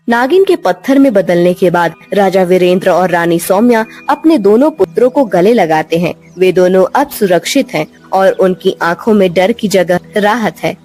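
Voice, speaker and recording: A woman tells a scripted tale as its narrator. Her pitch sits in the middle for a woman, and music plays behind her. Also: darkish tone.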